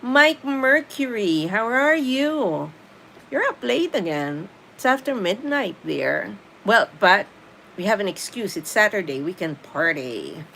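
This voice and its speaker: A woman's voice: mid-range voice, big pitch swings, slow, creaky, a bit bright, slight background noise. A middle-aged Filipino woman with a Filipino accent, whose first language is Tagalog, hosting a casual live stream where she reads viewer comments aloud and answers them with easy laughs. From Philippines.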